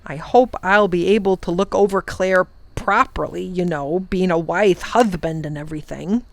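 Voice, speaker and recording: A woman voicing a gentle old male Wangdoodle king who speaks in an earnest, confiding way in a storybook reading. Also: fairly low pitch, moderate pace, creaky, dry recording. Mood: pleading.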